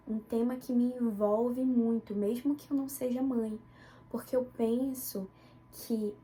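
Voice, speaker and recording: Young female student presenter, northern Brazilian accent from Belém. Soft-toned, fairly high voice, recounting her own academic work, with noise behind her. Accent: northern Brazilian Portuguese, Belém. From Belém, Pará, northern Brazil. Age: young adult. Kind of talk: academic presentation, personal account.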